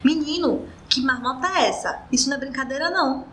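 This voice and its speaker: A woman's voice: high-pitched, fairly quick, fairly bright tone. Woman playing an indignant Northeastern Brazilian girl, noisy recording. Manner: colloquial, exclamatory. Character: indignant young girl named Letícia, shocked, grateful cousin. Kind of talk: radio soap dialogue.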